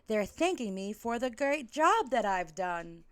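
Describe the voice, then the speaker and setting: A woman's voice: on the high side, sing-song, measured pace, a bit bright. A woman voicing a naive, dramatic male skeleton in a storybook reading, with an earnest manner. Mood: naive delight.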